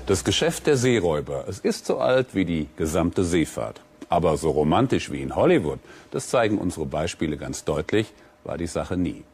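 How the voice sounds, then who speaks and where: A man's voice: low-pitched, big pitch swings, moderate pace, darkish tone, soft background music. A man presenting a television documentary programme from a script.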